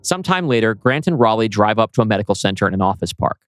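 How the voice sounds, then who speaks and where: A man's voice: fairly low pitch, big pitch swings, quick pace, no echo. A man narrating a screenplay dramatically as its writer, presenting stage directions and scene changes. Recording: music playing behind voice.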